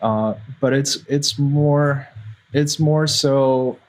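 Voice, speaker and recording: A man's voice: medium pitch, steady tone, very slow, poor audio. Man chatting loosely. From Eastern Europe. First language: Russian. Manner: rambling, swears freely, frequent restarts. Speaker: guest musician. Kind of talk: casual podcast chat.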